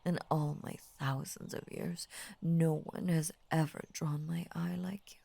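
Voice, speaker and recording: A woman voicing an ancient, possessive lamia in intimate roleplay audio, tender and formal, with a breathy husky voice that often drops into a whisper. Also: low voice, steady tone, exaggerated voice. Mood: adoring, intense. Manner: slow, tender, formal, hushed obsessive devotion. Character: ancient possessive lamia, lovestruck, devoted and murderous.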